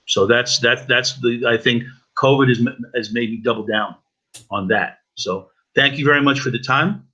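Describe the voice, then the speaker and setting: Bright-voiced male guest, sincere and halting, muffled lo-fi call audio. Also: mid-range voice, husky. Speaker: guest speaker. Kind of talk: personal reflection on a video call. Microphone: video call.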